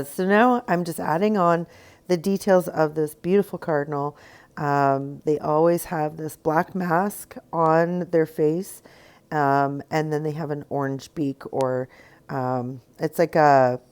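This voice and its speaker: A woman with a low, smooth voice leads a casual painting tutorial. A cold leaves her sounding stuffy and a little raspy at times. She wanders through her explanation with plenty of ums and uhs. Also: steady tone, slow, a little mellow. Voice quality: slightly raspy. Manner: rambling, many ums and uhs. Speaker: rock painting tutorial host.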